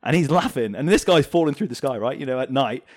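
A man from Hong Kong chatting casually as an interview guest, telling anecdotes in an animated way. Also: mid-range voice, fast talker, no echo, studio quality. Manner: animated, chuckles as he tells anecdotes. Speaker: interview guest, former fighter pilot. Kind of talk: casual storytelling in a podcast interview.

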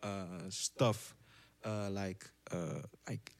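A man's voice: sits low, fairly even intonation, extremely slow, gravelly. Young dutch guy on a podcast, dutch accent, english not his first language, lots of ums while he hunts for words. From Netherlands. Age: young adult. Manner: thoughtful, searches for words, many fillers. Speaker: podcast guest. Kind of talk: casual conversation.

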